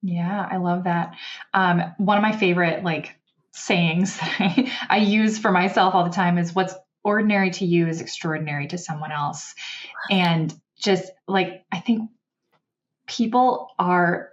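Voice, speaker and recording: Brief: woman host, fairly low voice, encouraging and reflective, dull muffled sound. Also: steady tone, measured pace. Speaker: podcast host. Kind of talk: casual interview chat. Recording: dull, slightly muffled audio.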